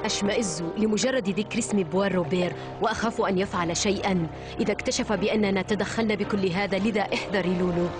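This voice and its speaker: A woman's voice: medium pitch, steady tone, fast talker, close to an everyday voice. A woman voicing an earnest, wary young man in a cartoon dub, speaking Modern Standard Arabic with urgent seriousness. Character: earnest, wary young male newspaper owner. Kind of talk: animated cartoon dub acting. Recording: background music under dialogue.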